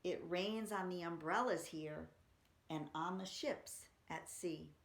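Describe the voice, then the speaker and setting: Woman, slow pace, poetry reader for children, expressive reading, simple explanations, roomy echo. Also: on the low side. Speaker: library story-time reader. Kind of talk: poetry reading for children, chatting between poems. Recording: roomy, echoey room sound.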